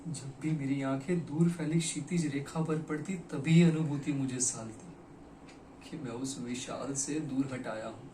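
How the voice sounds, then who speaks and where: A man performing a theatrical monologue as a broken, regretful poet, speaking dramatically and deliberately with weighted pauses. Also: medium pitch, steady tone, warm tone, natural voice. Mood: sorrowful, weary. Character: broken, regretful poet confessing to his lost beloved. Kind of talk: theatrical Hindi stage monologue. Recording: echoey room, distant mic, faint noise.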